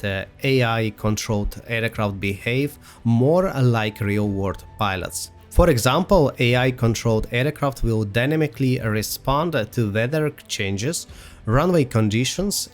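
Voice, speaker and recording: A man presenting a semi-scripted software promo narration in a halting way, with frequent pauses. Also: mid-range voice. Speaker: presenter of a software roadmap video. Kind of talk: semi-scripted promotional narration over a flight simulator demo. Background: music.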